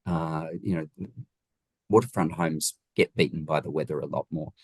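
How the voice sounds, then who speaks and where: A man with a low-pitched voice and a New Zealand accent speaks as an interview guest, recorded dry and close. He explains things conversationally and leans on key points with emphasis and rhetorical questions. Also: normal speed, a little mellow, breathy edge, no echo. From Wellington region, New Zealand. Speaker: guest, home designer.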